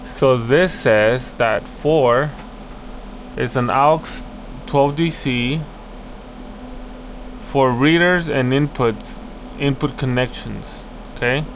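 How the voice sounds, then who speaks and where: Slow-paced man explaining as he works. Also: mid-range voice, slight background noise, poor audio. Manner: instructive, thinking aloud, repeats phrases. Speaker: access control installer and video host. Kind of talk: hands-on walkthrough, unscripted explaining. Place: indoors at an office job site.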